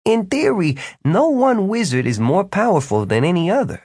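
Man voicing a showy, high-pitched wizard ruler. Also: very animated, normal speed, a little mellow, breathy edge, no echo, studio quality, full character voice. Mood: smug, persuasive. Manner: theatrical, emphatic salesman's delivery. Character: showy, persuasive male wizard ruler, grandly self-assured. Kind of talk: audiobook character dialogue.

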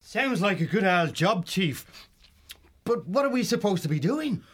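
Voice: medium pitch, big pitch swings, unhurried, slightly rough, pitched above the performer's own voice. A narrator voicing a cheeky, eager stoat henchman with a folksy, agreeable manner in a storybook. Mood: chirpy, eager.

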